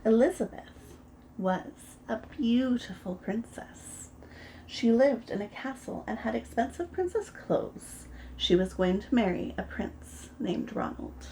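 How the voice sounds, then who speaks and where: A woman reading a children's picture book aloud, gentle and expressive as a preschool storyteller. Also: on the high side, a little slow, a little hiss or hum, low-quality recording. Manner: gentle and expressive, voices the characters.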